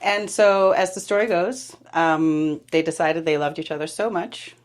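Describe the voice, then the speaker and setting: A middle-aged woman from Denmark, a guest in a conversation, laughing easily and pausing a little as she talks. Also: fairly low pitch. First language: Danish. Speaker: podcast guest, preschool director. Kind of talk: casual conversational interview.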